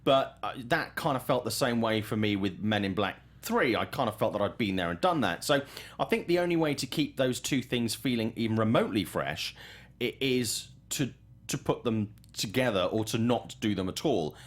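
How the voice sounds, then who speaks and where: Low, lively man. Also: normal speed. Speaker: talk show host, film reporter. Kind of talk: conversational film industry commentary.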